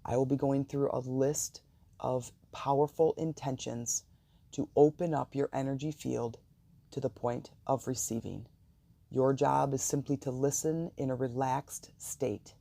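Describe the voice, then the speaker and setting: A meditation host with a soft, gravelly voice, guiding listeners calmly and soothingly with deliberate pauses. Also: mid-range voice, no echo. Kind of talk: guided meditation and affirmations.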